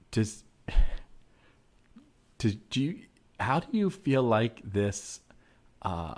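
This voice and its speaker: An eager, oversharing woman customer played by a man in a low voice. The delivery is halting and rambling, full of fillers, with an earnest tone in an improvised comedy sketch.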